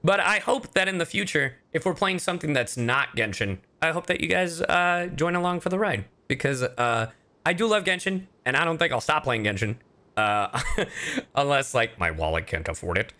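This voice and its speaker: A man's voice: a little high, moderate pace. A man hosting a livestream, chatting casually and informally with his viewers while playing a game. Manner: animated, informal, profane. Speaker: streamer, host.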